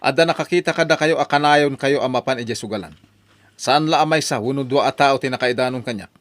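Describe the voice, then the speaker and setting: A man's voice: medium pitch, fast talker, a bit bright, dry recording, natural voice. A man with an Ilocano accent playing a stern relative who lectures a mother in a drama. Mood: reproachful. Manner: reproachful, lecturing. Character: stern middle-aged male relative scolding a mother.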